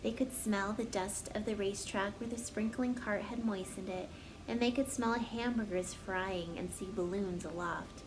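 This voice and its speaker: A woman's voice: medium pitch, moderate pace, noisy background. A woman narrating a children's story as a storyteller, reading aloud expressively.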